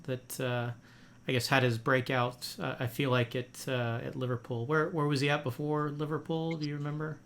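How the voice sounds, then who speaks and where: A man's voice: medium pitch, little pitch movement, moderate pace, fairly bright tone, a little hiss or hum. A man hosting a podcast interview in a friendly, casual way, with many fillers and restarts. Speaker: podcast host.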